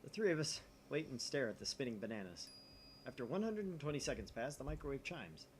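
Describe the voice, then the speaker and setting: Animated man hosting a game read-aloud. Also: medium pitch, fairly quick. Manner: joking, animated asides. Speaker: gaming channel host. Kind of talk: casual chat and visual novel narration. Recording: faint background noise.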